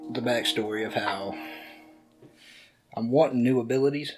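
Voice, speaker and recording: A man's voice: medium pitch, normal speed, faint music behind, poor audio. A man playing a tabletop game podcast, laid-back and swearing freely. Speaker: player. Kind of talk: casual tabletop game play.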